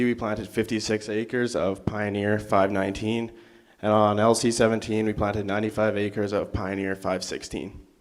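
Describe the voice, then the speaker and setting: A young man from Saskatchewan, presenting crop figures in a class talk and trailing into fillers when he loses his place. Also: on the low side. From Saskatchewan, Canada. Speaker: college student presenter.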